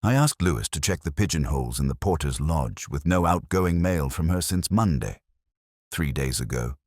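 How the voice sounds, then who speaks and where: A man narrating a scripted first-person detective story in a measured, literary and reflective manner. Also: very deep voice, animated, a little mellow, no echo, studio quality.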